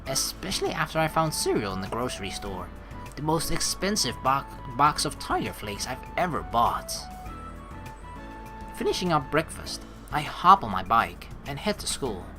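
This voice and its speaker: Animated gamer reading aloud over game music. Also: medium pitch. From Netherlands. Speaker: let's play host. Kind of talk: reading visual novel aloud with casual commentary.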